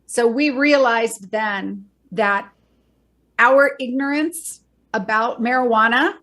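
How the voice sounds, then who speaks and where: A woman's voice: a little high, slow, a bit bright. A middle-aged woman, a bereaved mother and educator, explaining earnestly in a podcast interview and rattling off lists of facts.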